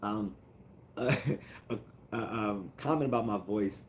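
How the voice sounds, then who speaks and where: A man hosting a casual livestream, answering viewer comments in a calm, rambling way with many fillers and restarts. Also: on the low side, slow, slight background noise. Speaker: livestream host.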